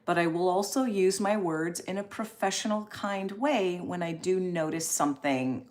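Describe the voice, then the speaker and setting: A woman, hosting a casual live stream and chatting in an encouraging, expressive way as she reads and answers comments. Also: fairly low pitch, normal speed.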